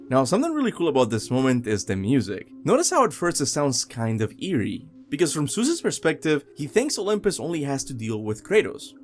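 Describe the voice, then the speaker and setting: A man presenting scripted video game commentary, speaking enthusiastically and emphatically straight to his viewers. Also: mid-range voice. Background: music.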